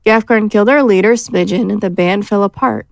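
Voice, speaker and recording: A woman's voice: medium pitch, fairly quick, creaky, no echo, natural voice. A woman playing a former bandit in a fantasy audio drama, candid, defensive and unashamed. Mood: frank, defensive. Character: frank, defiant female former bandit.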